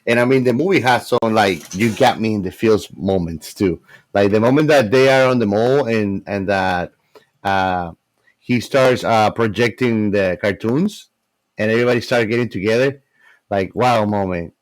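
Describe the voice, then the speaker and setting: A man's voice: on the low side, moderate pace. A man co-hosting a casual movie discussion, talking in a slangy, emphatic way. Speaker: podcast co-host.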